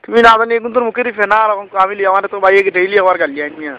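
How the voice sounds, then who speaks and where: An aggrieved cattle buyer played by a man with a Sylheti accent, high in pitch and rattling along quickly. He nags and repeats his complaints like a customer in a comic prank call. The phone recording is muffled and lo-fi. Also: background noise, close to an everyday voice. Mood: aggrieved, insistent. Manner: insistent, complaining, repetitive. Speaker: prank caller.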